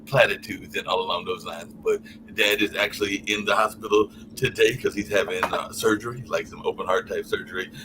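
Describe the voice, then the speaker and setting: Very deep-voiced rambling man. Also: fairly even intonation, brisk, hardly any pauses. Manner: rambling, repeats "along those lines". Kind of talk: live call-in chat. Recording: noisy stream audio.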